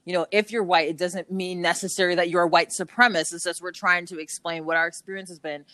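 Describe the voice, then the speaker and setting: A woman's voice: fairly low pitch, fairly even intonation, fast, no echo. A woman hosting a casual podcast interview, bubbly and laughing often, using affectionate pet names. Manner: bubbly, frequent laughter, warm affectionate address. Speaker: podcast host.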